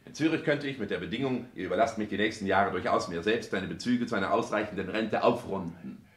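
An actor playing a driven, domineering adult son in a German radio play, declaiming in a clipped, commanding manner. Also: male voice, on the low side, fast talker, lightly acted. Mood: impatient, commanding. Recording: roomy 1960s radio studio sound.

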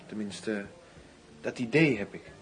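An actor playing a discreet, concerned colleague of an undercover agent in a radio drama, speaking in a subdued, hesitant manner. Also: male voice, on the low side, animated, measured pace, soft background music.

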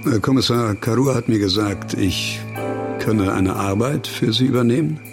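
An actor playing a disgraced ex-doctor just out of prison in a radio crime drama, wry and weary, with hesitations and muttered sardonic asides. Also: male voice, lowish voice, moderate pace, over music, natural voice.

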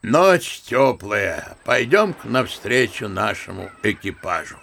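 An actor playing an old general and grandfather, telling his memories in an unhurried, bookish, old-fashioned way in a radio drama. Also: on the low side, big pitch swings, gravelly, fairly bright tone, a little hiss or hum, heavily acted. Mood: gentle. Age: older. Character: old general and grandfather, unhurried naive storyteller, good-natured. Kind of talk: Russian radio drama acting.

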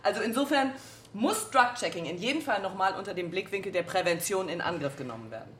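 An actress playing a cautious, evasive state secretary who gives a wordy, bureaucratic statement in a radio drama committee scene. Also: female voice, mid-range voice, animated, fast, natural voice. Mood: guarded. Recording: roomy, faint background noise.

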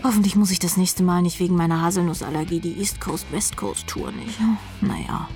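A woman's voice: on the low side, fairly even intonation, fairly quick, a little mellow, natural voice. An actress playing a brash, world-weary rock drummer who tells her story in a sardonic, rambling way in a radio drama. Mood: grandiose dreaming. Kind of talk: radio drama first-person narration. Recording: music playing behind the voice.

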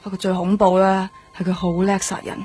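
A man with a Hong Kong accent playing a gossipy, excitable police detective in a radio drama. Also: sits high, fairly quick, faint music behind, close to an everyday voice. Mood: gossipy, intrigued. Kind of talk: conversational radio drama acting.

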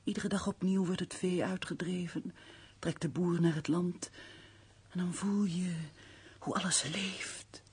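Very breathy, earnest young housekeeper. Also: female voice, lowish voice, fairly even intonation, unhurried. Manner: gentle, formal, earnest. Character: earnest, refined young housekeeper at country castle. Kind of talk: radio drama acting.

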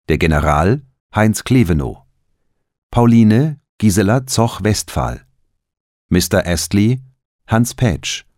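Man, radio announcer, very slow delivery, dry sound, formal and precise. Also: on the low side, darkish tone, no echo. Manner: measured, precise enunciation. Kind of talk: formal scripted credits announcement.

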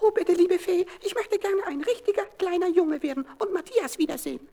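Squeaky, eager little puppet boy. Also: a little fast, warm tone. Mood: pleading, hopeful. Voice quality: childlike, squeaky. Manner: pleading, impulsive. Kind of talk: children's radio play acting.

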